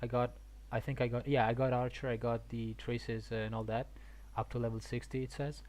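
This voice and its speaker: A man live streaming a game and chatting casually, halting and often repeating his words. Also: medium pitch, flat delivery, darkish tone. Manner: halting, repetitive. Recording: faint background noise.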